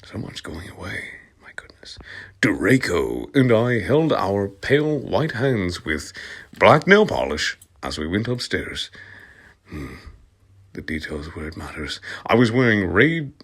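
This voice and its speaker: A man reads a story aloud with a slow, unhurried pace and a very animated pitch. He is theatrical and sardonic, treating the text as comedy and moving from murmured asides to loud outbursts. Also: breathy edge. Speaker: reader of comic fan fiction. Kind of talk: dramatic comic read-aloud with sardonic asides.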